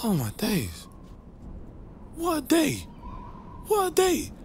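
A man's voice: very high-pitched, huge pitch swings, slow pace, a little hiss or hum, heavily acted. A man playing a jealous, hot-headed young London street lad, slangy, indignant and confrontational in a comic dub. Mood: worked up, boastful.

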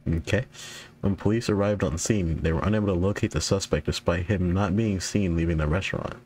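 A young man with a deep, low voice plays through a horror game. He reads in-game notes aloud with casual stumbles and self-corrections, and his voice is worn and giving out. A faint hiss runs under the recording. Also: a little fast, darkish tone. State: losing his voice. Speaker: gaming YouTuber.

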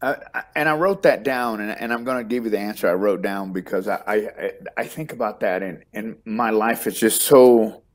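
Middle-aged Texan guest on a video call; lowish voice, rambling and warm. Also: male voice, moderate pace, hardly any pauses. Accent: Texas. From Texas, United States. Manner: warm, rambling, repeats words when starting sentences. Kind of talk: casual interview chat. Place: home room, on video call.

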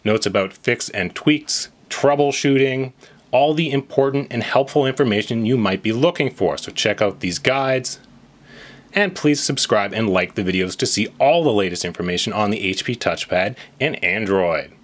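A man's voice: lowish voice, normal speed. A man hosting a tech tutorial, narrating a step-by-step walkthrough in an explanatory, reassuring manner. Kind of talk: instructional screen walkthrough narration.

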